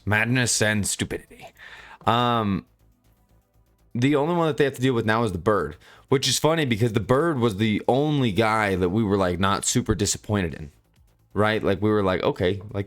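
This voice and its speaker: A man giving casual, unscripted commentary as a reviewer, rambling and halting as he goes and often repeating himself. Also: on the low side, lively intonation, faint music behind. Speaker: manga chapter reviewer.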